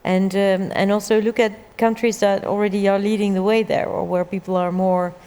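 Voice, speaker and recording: A woman's voice: mid-range voice, flat delivery, moderate pace, dark tone, clear, resonant tone. A woman from the Netherlands, a government minister, talking in a panel discussion in a conversational way, with many fillers. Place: conference hall stage. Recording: reverberant conference hall.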